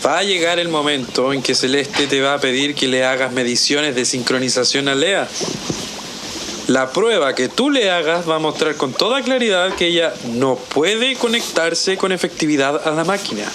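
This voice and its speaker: A man playing a scheming, smug boss who gives deliberate, insistent instructions in an audio drama. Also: a little high, lively intonation, noisy background, lightly acted. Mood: controlling, insistent. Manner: deliberate, insistent, checking he is understood.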